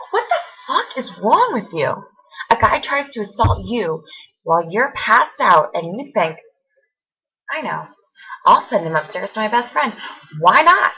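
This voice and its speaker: Actress as wounded young woman confronting an old friend; lowish, sharp voice, halting and pointed, stage monologue. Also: vocal fry, highs cut off, exaggerated voice. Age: young adult. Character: hurt, accusing young woman confronting a former best friend.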